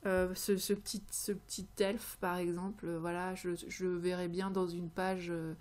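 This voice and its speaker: A woman's voice: on the low side, flat delivery, a little mellow. Hesitant Frenchwoman chatting through a tutorial. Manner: hesitant, halting, searching for words. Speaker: creativity vlogger presenting tarot card ideas. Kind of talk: informal tutorial talk, unscripted.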